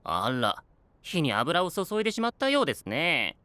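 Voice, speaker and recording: An actor playing a composed, coolly strict mentor knight with courteous formal speech in a Japanese anime voice drama. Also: male voice, a little high, huge pitch swings, normal speed, pushed and bright, clean tone, dry recording, exaggerated voice. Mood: wry.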